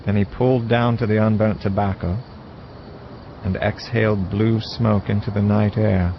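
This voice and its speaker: Man as low-voiced young narrator, muffled, noisy. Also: fairly even intonation, moderate pace, close to an everyday voice. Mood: observant. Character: young man narrating his night-time encounter, observant. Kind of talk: scripted first-person narration. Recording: muffled, noisy night ambience.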